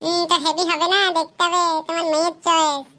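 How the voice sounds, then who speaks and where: A woman's voice: very high-pitched, little pitch movement, fast. A woman voicing a stubborn, lovestruck young daughter with a pert, insistent manner in a comic cartoon. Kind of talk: amateur comic cartoon voice acting. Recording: loud jingly music behind the voice.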